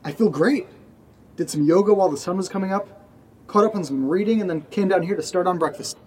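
A man's voice: high voice, quick pace, darkish tone, lightly acted. An actor playing an eerily upbeat, childlike and erratic male housemate in naturalistic audio drama dialogue, chirpy and abruptly insistent. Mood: breezy.

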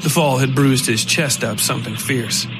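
A man reading scripted dark fiction as the narrator of a horror podcast. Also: medium pitch, moderate pace. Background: music.

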